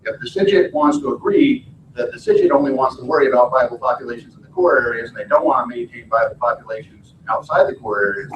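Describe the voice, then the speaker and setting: A man's voice: mid-range voice, a bit bright, a little hiss or hum. A man making an insistent, legalistic comment at a public meeting. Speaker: meeting participant. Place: large conference room. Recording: echoey, distant, muffled room sound.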